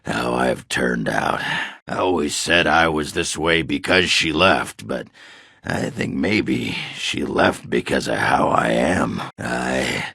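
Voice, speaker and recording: Gruff, crude dwarf berserker for a fantasy game: extremely low, raspy, blunt and hard-drinking. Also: male voice, lively intonation, slow pace, pushed and bright, no room sound, clean studio sound, exaggerated voice. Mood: rueful, brooding. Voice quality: gravelly, raspy. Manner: crude, blunt, swearing, grunting. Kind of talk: video game character acting.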